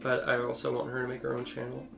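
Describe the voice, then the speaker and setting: A man's voice: mid-range voice, completely flat delivery, quick pace, creaky. A man in his twenties chatting casually and jokingly as a video co-host, answering viewer questions. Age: 20s. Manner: joking, bantering. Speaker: YouTube co-host. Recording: echoey room. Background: background music with plucked guitar.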